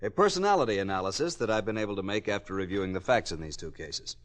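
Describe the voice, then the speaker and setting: A grave American psychology professor in a 1950s radio drama. His voice is low, measured and authoritative, every point laid out with precise assurance. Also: lively intonation, a bit bright. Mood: grave, certain.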